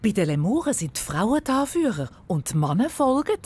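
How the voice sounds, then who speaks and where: Very animated Swiss German zoo vet. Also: female voice, mid-range voice, brisk, husky. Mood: brisk, confident. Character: confident, friendly, capable female zoo vet. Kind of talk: children's story acting.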